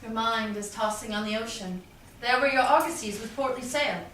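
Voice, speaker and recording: A woman playing a cheerful, teasing Venetian gentleman in a staged reading of Shakespearean verse. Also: on the high side, normal speed, natural voice. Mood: reassuring. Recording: very echoey room, distant mic, faint noise.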